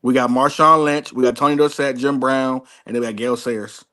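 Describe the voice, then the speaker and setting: A man's voice: mid-range voice, fairly even intonation, brisk, sharp tone. A man in his thirties co-hosting a casual sports debate, emphatic and repeating his points for stress. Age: 30s. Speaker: podcast co-host.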